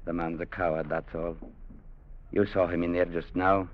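An actor playing a principled, peace-loving trader, earnest and stern, in a vintage radio drama. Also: male voice, sits low, level tone, normal speed, natural voice. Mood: earnest, calm. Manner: earnest, old-fashioned turns of phrase. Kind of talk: 1950s radio drama acting. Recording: muffled vintage radio recording.

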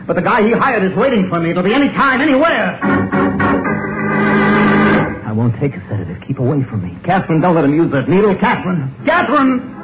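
A man's voice: sits high, huge pitch swings, brisk. A panicky husband sure he's marked. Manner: tense, urgent and jittery. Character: frightened husband convinced he'll be murdered. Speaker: narrator and lead character. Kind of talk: 1950s radio drama acting. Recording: dull lo-fi old radio recording with loud music.

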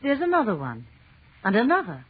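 An actress playing an affectionate, playful wife and sculptor in a 1930s radio drama. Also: female voice, fairly high pitch, wildly swooping pitch, fairly quick, husky, heavily acted. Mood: awed, excited. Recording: muffled, noisy 1930s radio recording.

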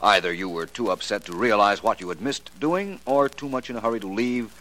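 A man's voice: lowish voice, a little fast, pushed and bright, rough recording. An actor playing a polished, wry male detective in a 1940s radio drama. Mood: urbane. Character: suave, urbane male detective.

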